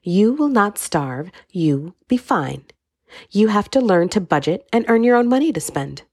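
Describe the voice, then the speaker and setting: A woman's voice: medium pitch, very animated, normal speed, darkish tone, slightly rough, no echo, voice pushed up. Woman voicing a steely, dry wealthy mother. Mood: stern. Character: wealthy stern mother, middle-aged woman.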